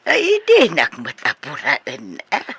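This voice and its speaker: A woman's voice: rumbling low, wildly swooping pitch, slow, fairly bright tone, slight background noise, full character voice. An actress playing a coy, hesitant middle-aged mother being courted, in a comedy radio drama. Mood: reluctant, coy.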